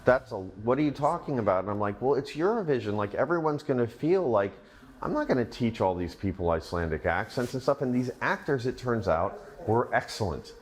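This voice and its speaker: A man's voice: mid-range voice, sing-song, fairly quick. A man in an everyday middle register talks through his film's backstory, eager and rambling. He restarts sentences often as he tells his stories. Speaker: film director. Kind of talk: interview, storytelling anecdotes.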